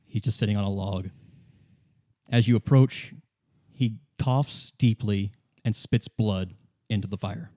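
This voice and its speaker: A man acting as game master, narrating a story in the second person in an informal, halting, self-correcting way. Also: on the low side, mellow.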